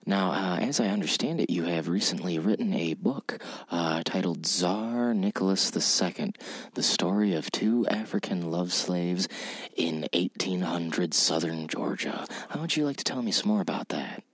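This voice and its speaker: Man playing a deep-voiced, pompous, bumbling radio host. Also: lively intonation, breathy edge, dry recording. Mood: self-important, formal. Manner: halting, repetitive, pompous, earnest. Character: bumbling, pompous, clueless male radio interviewer. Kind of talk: mock old-time radio interview show.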